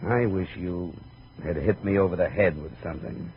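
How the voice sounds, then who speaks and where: An American actor playing a sardonic, skeptical private investigator in a 1950s hardboiled radio drama. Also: male voice, deep voice, normal speed, natural voice. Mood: wry. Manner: sardonic, probing. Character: wry, skeptical, tough male private investigator. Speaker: lead detective of the series. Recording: dull, noisy 1950s radio recording.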